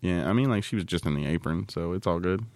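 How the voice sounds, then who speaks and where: A low-voiced man chats as a podcast co-host, recorded close and dry. He rambles through his thoughts in a casual, teasing, joking way. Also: quick pace, a little mellow, no echo.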